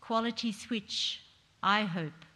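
A middle-aged woman performing a dignified 1940s woman politician's speech to parliament, in measured, declamatory theatrical oratory. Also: medium pitch, bright, forceful tone. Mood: earnest, stirring. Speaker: performer reading a historic speech on stage. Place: large theatre stage, indoors. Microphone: stage microphone on a stand. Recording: echoey hall with long reverb.

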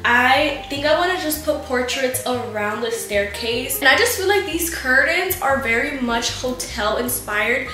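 A woman's voice: sits high, lively intonation, measured pace, hardly any pauses, reverberant, music in the background, rough recording. A young woman in her twenties chatting casually to her camera at home, venting and swearing a lot. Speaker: lifestyle vlogger. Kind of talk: casual storytime vlog. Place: bright living room and kitchen at home.

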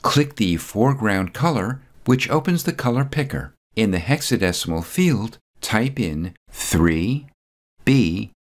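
A man reading a scripted step-by-step software tutorial voice-over, calm and methodical. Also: fairly low pitch.

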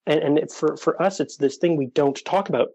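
A man's voice: a little high, moderate pace, mellow, dry recording. A man who is a podcast guest, speaking earnestly and searching for words in a casual interview. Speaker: podcast guest, dating coach for widowers.

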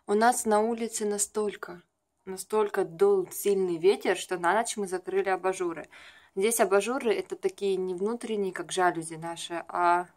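A young woman with a medium-pitched voice chats to her camera at home. She speaks casually and confidingly, wandering through her stories as in a homemade vlog. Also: steady tone, normal speed. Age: young adult. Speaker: vlogger, makeup artist. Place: small furnished room at home.

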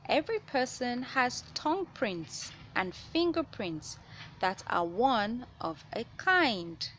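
Slow-paced woman reading to children. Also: on the high side, very animated, a bit bright. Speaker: children's read-aloud host. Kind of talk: reading a children's science picture book aloud. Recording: noticeable background noise.

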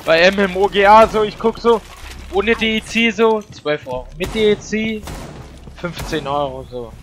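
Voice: mid-range voice, normal speed, slight background noise. A player chatting casually and trash-talking during an online video game. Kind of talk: casual gaming chat with trash talk.

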